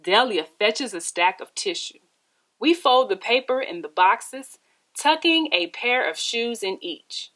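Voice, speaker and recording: Lady doing a kids book read aloud, slow measured pace, expressive storyteller. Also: on the high side, poor audio. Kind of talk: expressive children's storybook narration.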